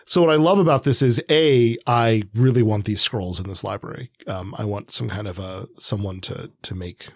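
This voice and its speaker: A man co-hosting a podcast, talking through books casually in a halting, thinking-aloud way. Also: fairly low pitch, gravelly, no echo, studio quality. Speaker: podcast co-host. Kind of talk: casual book discussion.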